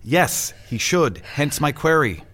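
A fed-up office boss, curt n sarcastic, voice jumps up and down, radio play. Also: male voice, a little high, animated, takes it slowly, a bit bright. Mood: exasperated, bossy. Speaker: boss of a government events office. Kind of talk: naturalistic satirical audio drama acting.

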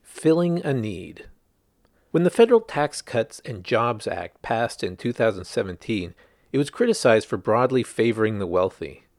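A man's voice: lowish voice, lively intonation, normal speed, a little mellow, dry recording, clean studio sound. A man reading a news article aloud as a scripted narration, in the manner of a reporter presenting a written story.

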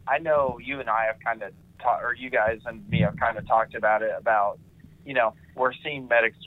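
A man hosting a casual podcast conversation, speaking earnestly and affirming the others with frequent "mm-hmm"s. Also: medium pitch. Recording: tinny phone-like sound.

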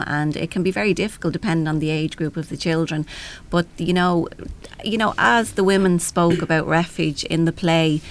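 An Irish woman explaining things as a helpline representative in a panel discussion, often adding "you know". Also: low voice.

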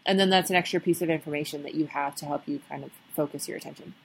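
A young adult woman with a fairly low voice co-hosts a casual podcast. She explains ideas patiently, pausing with ums and restarting phrases as she goes. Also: steady tone, vocal fry, breathy edge, low-quality recording. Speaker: podcast co-host.

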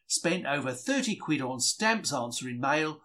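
A man's voice: fairly high pitch, expressive, unhurried, sharp tone. A man reading a diary as a chatty, self-deprecating 1940s market bookseller, wry and plain-spoken. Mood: wry, indignant. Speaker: reader.

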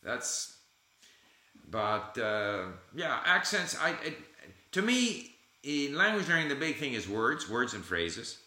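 A man's voice: medium pitch, big pitch swings, slow, bright, forceful tone. A man hosting a casual conversation about language learning, encouraging and emphatic, repeating his key phrases. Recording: echoey room.

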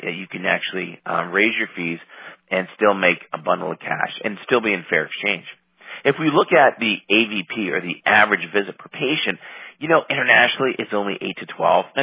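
A man with a head cold, sounding nasal and slightly raspy, coaching a group over a conference call. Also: lowish voice, no echo. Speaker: practice coach. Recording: dull conference call line.

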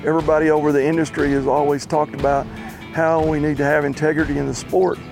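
A man's voice: on the high side, level tone, fast talker, creaky, over music. A man, a racehorse trainer, talking plainly and matter-of-factly in an interview clip.

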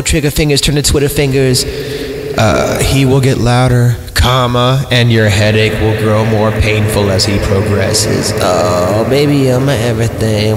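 A slow-talking, gravelly man reads creepy fiction as a podcast host. He keeps breaking off to swear and goof around with the script. His voice sits in long reverb over prominent eerie music. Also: mid-range voice, background noise. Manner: sweary, goofy, breaks off to ad-lib and mock the text. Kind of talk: irreverent horror story reading with joking asides. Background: eerie film score music.

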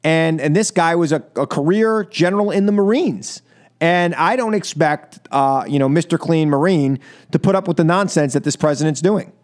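A man's voice: a little high, normal speed. A man hosting a political call-in radio show with casual, sarcastic, joking banter.

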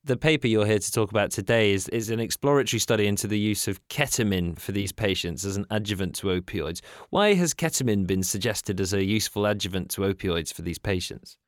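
Man, lowish voice, interviewer, measured, dry studio sound. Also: no echo. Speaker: interviewer and narrator. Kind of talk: scripted narration and interview questions.

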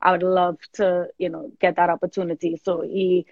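A woman's voice: on the low side, level tone, normal speed, no room sound. Effusive woman, slightly dull dry recording. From Trinidad. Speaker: singer, interview guest. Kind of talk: casual interview chat.

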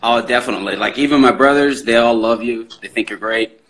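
A man's voice: medium pitch, a little fast, fairly bright tone, low-quality recording. A young man from Saudi Arabia with an American accent, chatting in an easygoing, friendly way with a streamer over a video call. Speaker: viewer calling in. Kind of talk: casual chat on a video call.

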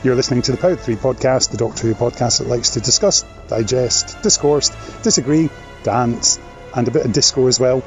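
A man hosting a podcast, playful and fond of wordplay, a bit gravelly from a cold. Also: mid-range voice, fairly quick, darkish tone, highs cut off, faint music behind. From Scotland. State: slight cold, more gravelly than normal. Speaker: podcast host. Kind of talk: podcast chat and interview. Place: at a table across from his co-host.

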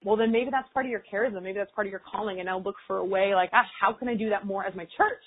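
A woman's voice: mid-range voice, rapid-fire, husky. A woman talks in a loose, reflective way and fills her sentences with "like." Her voice carries a slight huskiness. The sound is narrow and tinny, like a phone call. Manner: chatty, frequent "like" fillers. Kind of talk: casual reflective chat.

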